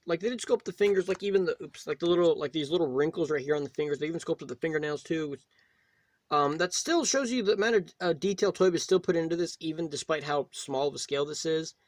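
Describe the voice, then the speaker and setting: A man hosting a casual, unscripted toy review, talking in a rambling, halting way and often rephrasing himself. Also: sits high, no echo. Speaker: toy reviewer, video host.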